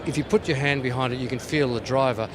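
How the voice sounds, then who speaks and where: A man's voice: medium pitch, fast talker, background noise. A man giving an informal, playful product demonstration as a company representative at a trade show. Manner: tongue-in-cheek, playful.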